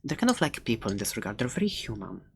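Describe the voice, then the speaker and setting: A very deep female voice a woman acting as a quirky seller of fantasy creatures in an ASMR roleplay. She chats playfully and hesitantly, close to the mic, with faint background hiss and small clicks. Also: sing-song, quick pace, gravelly, full character voice. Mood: playful, chatty. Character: chatty, quirky seller of dragon eggs, fond of dangerous pets. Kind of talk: ASMR roleplay, casual rambling talk.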